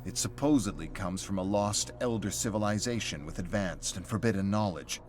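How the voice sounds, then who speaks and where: A man's voice: on the low side, normal speed. A man reading scripted story narration as the narrator of a game's lore. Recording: faint music under the narration. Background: music.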